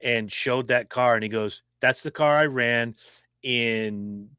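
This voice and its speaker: A man co-hosting a casual racing podcast, opinionated and animated, talking fast. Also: medium pitch, clean tone, no room sound, studio quality. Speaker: podcast co-host. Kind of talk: casual sports chat.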